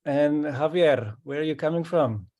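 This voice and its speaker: A man hosting an online meeting, welcoming each participant calmly and often repeating his words. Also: a little high, a little slow. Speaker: host. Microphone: video call.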